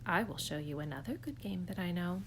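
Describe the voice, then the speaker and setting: A woman's voice: lowish voice, expressive, fairly quick, slight background noise. A woman voicing a mischievous male cat who shows off his tricks, boastful and coaxing.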